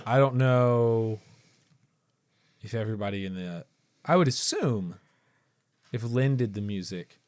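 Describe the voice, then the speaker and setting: A man's voice: on the low side, expressive, unhurried, pauses often, a little mellow, dry recording. Dry-sounding male podcast host, teasing. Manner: teasing, curious, conversational. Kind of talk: casual movie review chat. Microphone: podcast mic.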